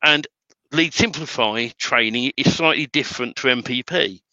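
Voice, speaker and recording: A middle-aged British man with a very bright, forceful voice, chatty and prone to repeating words as he gets going. The audio is dry and slightly dull, lo-fi. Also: medium pitch, normal speed, no room sound. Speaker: webinar guest, template trainer. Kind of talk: casual chat.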